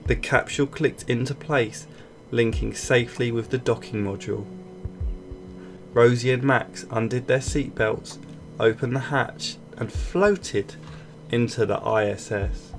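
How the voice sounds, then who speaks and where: A man reading a scripted children's story aloud in an expressive storytelling style. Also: mid-range voice, measured pace, slight background noise. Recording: music bed behind voice. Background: background music.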